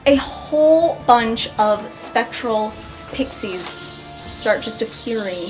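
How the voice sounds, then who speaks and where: A woman's voice: medium pitch, low-quality recording. A woman playing a tabletop game, describing her moves casually with some swearing. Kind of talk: improvised casual game narration. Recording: music bed behind the voice.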